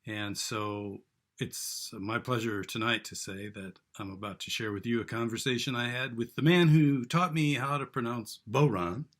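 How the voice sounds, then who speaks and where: A man's voice: medium pitch, moderate pace, a bit bright. A man from Wisconsin hosting a show and interviewing a guest in a casual chat, laughing often and restarting phrases. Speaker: show host.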